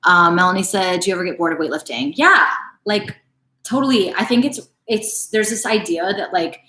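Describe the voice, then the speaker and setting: A woman's voice: on the low side, normal speed, bright, forceful tone, roomy sound. A woman in her late twenties, a fitness coach casually answering viewer questions in a live stream, full of "like" and "um" fillers. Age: late 20s. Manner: casual, chatty, frequent "like" and "um" fillers.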